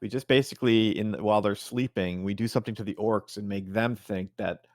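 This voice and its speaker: A man's voice: on the low side, steady tone, brisk, no room sound, studio quality. A man playing in a tabletop game, cracking jokes and teasing the others. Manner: joking, teasing. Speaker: player. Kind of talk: casual tabletop game chat.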